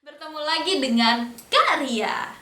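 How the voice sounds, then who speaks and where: A young woman telling a picture-book story to small children, in a slow sing-song way, stopping to ask them questions. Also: sits high, very animated, sharp tone, recorded from a distance. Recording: echoey room, a bit off-mic.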